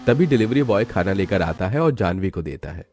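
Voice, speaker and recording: A man's voice: deep voice, expressive, fairly quick, a little mellow, soft background music. A man narrating a scripted moral story.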